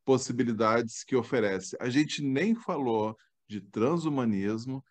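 A man's voice: mid-range voice, a little slow. A man from southern Brazil, hosting a podcast in casual, enthusiastic chat. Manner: warm and enthusiastic, animated outbursts. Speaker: podcast host.

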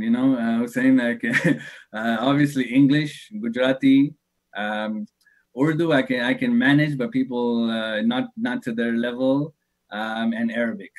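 A man's voice: mid-range voice, a little mellow. A man in his thirties, a religious teacher, answering interview questions thoughtfully, hesitating often and laughing easily. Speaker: shaykh, religious speaker.

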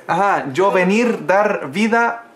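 A man's voice: sits high, expressive, slow pace, a bit bright. A man leading a casual Bible study livestream, who repeats his phrases and punctuates them with "amén" and a questioning "¿ah?". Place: home in Canada.

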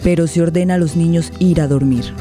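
Dark-toned woman narrator. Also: on the low side, steady tone, a little fast. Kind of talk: dramatic scripted narration. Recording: soft guitar music under voice.

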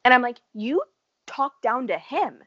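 A woman's voice: a little high, expressive, measured pace, a bit bright, no echo. American woman gossiping, swears a lot, laughs a lot, kinda dull dry sound. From United States. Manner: blunt and profane, laughing often. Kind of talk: casual chat about a reality show.

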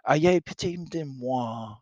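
Man acting out pleading French soldier, frightened and halting, stage-play read-aloud, dry close recording. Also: mid-range voice, mellow, no room sound, highs cut off, natural voice. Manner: halting, imploring delivery.

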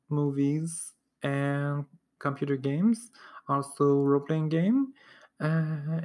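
A woman's voice: low voice, darkish tone. A woman from Montreal with a Québécois French accent, a native French speaker, hosting a solo podcast in English and talking hesitantly with many fillers and restarts. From Quebec, Canada. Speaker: podcast host.

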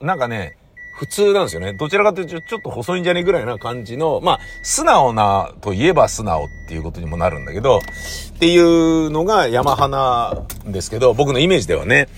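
A man's voice: mid-range voice, slight background noise. A middle-aged man in a casual, rambling solo chat, blunt and slangy, acting out his own reactions as he tells stories. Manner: blunt, slangy, self-deprecating. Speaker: audio drama producer and recordist.

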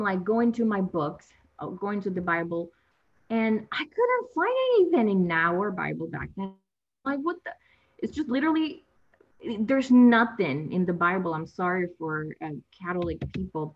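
A woman's voice: on the low side, lively intonation, normal speed, faint music behind. A young woman, a native Spanish speaker, talking as a guest in a casual interview, a bit nervous, laughing and restarting her sentences. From Latin America. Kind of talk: conversational interview. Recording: dull lo-fi sound.

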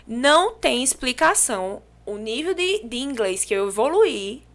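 A young Brazilian woman from João Pessoa chats on a podcast, telling her story with lively energy. Her voice is bright and fairly high, with a clear, forward ring. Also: unhurried. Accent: Brazilian Portuguese, from João Pessoa. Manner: lively, animated storytelling with restarts and laughs. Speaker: podcast guest. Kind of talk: casual podcast chat.

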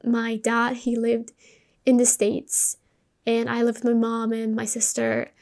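Higher-voiced Colombian woman telling her story. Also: completely flat delivery, measured pace, darkish tone. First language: Spanish. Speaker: podcast host. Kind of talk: personal storytelling, conversational monologue.

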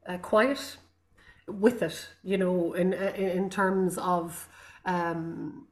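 A woman with an Irish accent, a guest artist speaking reflectively in an interview, pausing with frequent ums and restarts. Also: medium pitch. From Ireland.

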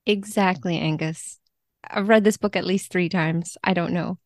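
A woman chatting casually and wryly about books as a podcast guest, quick to laugh. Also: on the low side, fairly even intonation, no room sound, studio quality. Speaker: guest, literary translator.

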